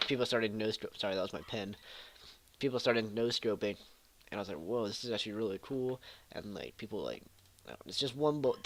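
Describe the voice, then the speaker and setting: Young-sounding gamer host, male, lowish voice, stuffed-up nasal tone, jokey and irreverent. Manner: joking, irreverent, offhand. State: nasal from allergies. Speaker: gaming channel host. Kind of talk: casual Q&A vlog reading viewer questions.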